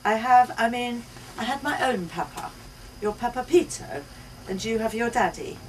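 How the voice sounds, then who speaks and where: A woman giving an expressive live reading of a first-person short story. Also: on the high side, moderate pace. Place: indoors at a bookshop book launch. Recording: distant mic, noisy live bookshop event.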